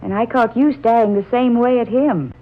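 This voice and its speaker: An actress playing a chatty, teasing young confidante, in 1940s radio drama style. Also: female voice, fairly high pitch, normal speed, natural voice. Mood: curious, teasing. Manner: inquisitive, teasing. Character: chatty, curious young woman, a romantic confidante. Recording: dull, faintly noisy old radio recording.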